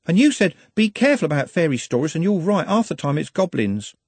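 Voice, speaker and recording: A woman's voice: low-pitched, big pitch swings, fairly quick, darkish tone, breathy edge, no room sound, pitched above the performer's own voice, full character voice. A man voicing a chatty, eager young working-class woman who rambles in long run-on sentences. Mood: earnest rambling.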